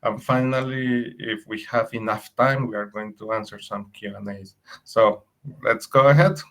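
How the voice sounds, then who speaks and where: Colombian man speaking halting English. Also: lowish voice, steady tone, a bit bright, rough recording. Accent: Colombian Spanish. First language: Spanish. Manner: friendly, halting, repeats words. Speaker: co-presenter. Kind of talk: webinar presentation.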